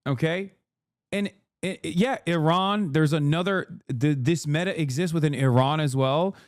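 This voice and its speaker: A man streaming casual reaction commentary, profane, animated and rambling. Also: on the high side, moderate pace, no room sound, clean studio sound.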